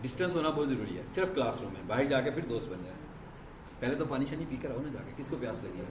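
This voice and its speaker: A man's voice: mid-range voice, lively intonation, fast talker. A male teacher lecturing a class. He explains by asking questions and answering them himself, and he is firm with students about discipline. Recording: echoey classroom with faint background noise.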